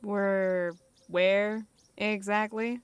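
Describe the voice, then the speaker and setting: A woman's voice: medium pitch, fairly even intonation, drawn-out words, clean tone, dry recording. A woman playing a blunt, casual human companion in an audio roleplay. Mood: dry, casual.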